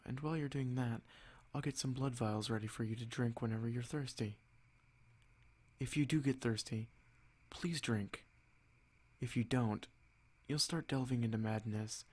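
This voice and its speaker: A haughty male vampire noble, acted by a man for an ASMR roleplay. He speaks at a middling pitch in a measured, condescending way. His calm carries a soft threat, possessive and sure of his rank. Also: natural voice.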